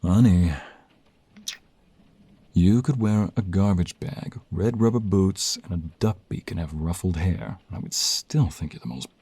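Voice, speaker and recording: Low, husky, smitten mobster husband acted by a man. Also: dark tone, full character voice. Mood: tender, seductive. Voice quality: breathy, husky.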